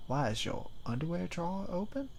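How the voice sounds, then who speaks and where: Creepy-but-bashful male spider monster, played by a man, very gravelly, formal and stammering, ASMR roleplay with noticeable background ambience. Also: high voice, big pitch swings, fairly quick, heavily acted. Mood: flustered, defensive. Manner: stammering, apologetic, overly formal and polite. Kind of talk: ASMR roleplay, scripted monologue. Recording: clear ambient background noise.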